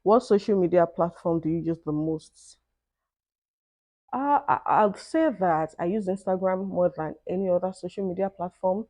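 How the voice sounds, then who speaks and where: A woman's voice: lowish voice, moderate pace, dark tone, no room sound. A young Nigerian woman with a Nigerian accent, casually reading out questions and answering them in a vlog, often adding "I mean". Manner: thoughtful, conversational, with "I mean" asides. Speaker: vlogger.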